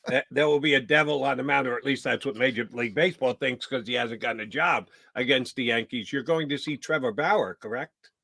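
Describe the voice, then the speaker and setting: Male sports talk host, bright tone, dry close sound, jokey and long-winded. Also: mid-range voice, a little fast, gravelly, few pauses, no echo. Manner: wisecracking, ribbing the guest, then long rambling questions.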